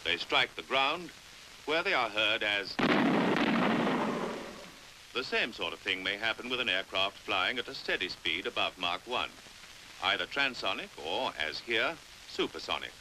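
A British man narrating a 1950s educational film in a precise, measured way, on a hissy old soundtrack. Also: fairly low pitch, lively intonation, bright, forceful tone, low-quality recording.

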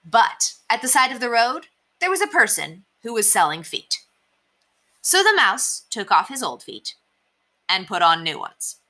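A young woman reading children's stories aloud as an expressive storyteller. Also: a little high, unhurried. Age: young adult. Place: small indoor room.

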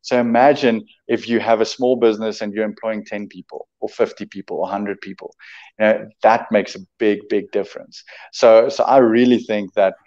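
Young Afrikaans-speaking man, dark low voice. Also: fairly even intonation, moderate pace. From South Africa. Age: 20s. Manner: eager, repeats words while building his point. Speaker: guest, entrepreneur and author. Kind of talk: casual video-call interview. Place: home room indoors. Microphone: webcam on a video call. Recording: dull lo-fi video-call audio.

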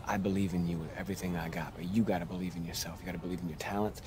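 A low American man's voice acting as a tough-love basketball mentor in a game. He challenges and motivates with pointed questions, over clear outdoor city noise. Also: steady tone, rapid-fire, lightly acted. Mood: encouraging. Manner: motivational, punctuated with rhetorical questions. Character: tough-love male basketball mentor, demanding but believing. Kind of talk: naturalistic video game cutscene acting.

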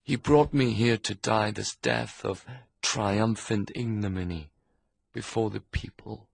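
A man's voice: on the low side, steady tone, slow pace, dry recording, heavily acted. A man voicing a dying male minister who speaks weakly and serenely. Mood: weak solemn. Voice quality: gravelly, husky, fading. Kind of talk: audiobook character voice.

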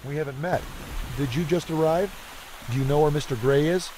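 A man playing a serious, higher-voiced investigator. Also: a little fast, dark tone, a little hiss or hum, close to an everyday voice, rough recording. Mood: inquiring. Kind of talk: video game voice acting.

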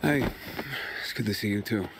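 An actor playing a determined, defiant young survivor in a video game drama. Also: male voice, lowish voice, moderate pace, creaky, over loud music, poor audio. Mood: sheepish, teasing.

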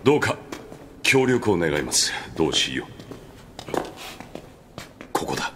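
An actor playing a calm, manipulative master swordsman in an anime audio drama, speaking in a measured, persuasive way. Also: low voice, a little hiss or hum, heavily acted. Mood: formal, composed. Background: music.

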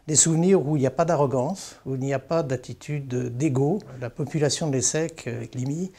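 A man in his fifties, a hotel executive, giving a measured interview testimonial with pauses. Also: fairly high pitch, mellow.

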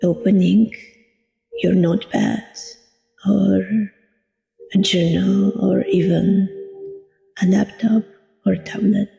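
Very slow, creaky-voiced woman guiding meditation. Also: medium pitch, mellow. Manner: soothing, deliberate, long pauses between short phrases. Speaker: meditation guide, coach. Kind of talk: guided meditation narration. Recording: long reverb with music behind. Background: meditation music.

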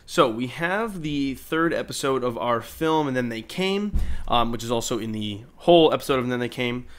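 A man's voice: medium pitch, animated, moderate pace, soft background music. A man narrating a video editing tutorial in an informal, explanatory way. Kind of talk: casual screen-recorded tutorial narration. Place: indoors, voice-over at a computer.

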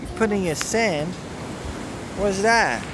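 A man's voice: high voice, slow pace. A man filming and coaching a small child at play on an outdoor beach, patiently repeating simple instructions from behind a handheld phone camera. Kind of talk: casual talk to a toddler. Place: outdoors on a sandy lakeshore beach. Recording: outdoor wind and wave noise.

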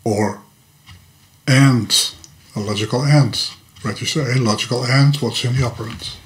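A man's voice: medium pitch, unhurried. An older man with a Dutch accent, a native Dutch speaker, patiently and methodically explaining a computer topic in a screen-recorded lesson at his desk, now and then tripping over a word. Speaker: hobbyist teacher. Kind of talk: screen-recorded programming tutorial. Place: home workshop room with electronics.

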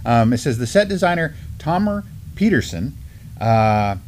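A man's voice: mid-range voice, big pitch swings, a little slow, a little mellow, a little hiss or hum. A middle-aged American man hosts a news chat with a mid-range voice. He talks informally and with enthusiasm, often circling back over his phrases as he moves between items. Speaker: news show host. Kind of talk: casual news discussion. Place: indoor studio at a table.

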